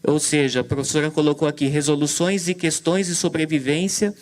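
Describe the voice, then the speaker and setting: Male moderator, hesitant delivery, fillers and restarts, slightly higher pitch. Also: fairly even intonation, brisk. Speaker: event moderator. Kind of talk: commentary on a talk.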